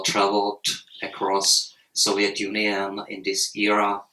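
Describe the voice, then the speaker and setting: A man in his fifties speaking at a festival panel in halting, plain English. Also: fairly low pitch, bright, forceful tone, distant mic. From Czechoslovakia. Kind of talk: public panel talk. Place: event hall. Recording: roomy hall from the mic, faint noise.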